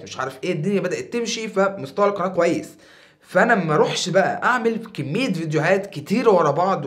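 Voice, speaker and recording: Egyptian guy in his 20s vlogging to camera, talks super fast, kinda high voice, waves his hands around, sounds a bit tired. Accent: Egyptian Arabic. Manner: animated, gestures a lot with his hands. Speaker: YouTuber. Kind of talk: casual talk to camera. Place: small room with acoustic foam panels.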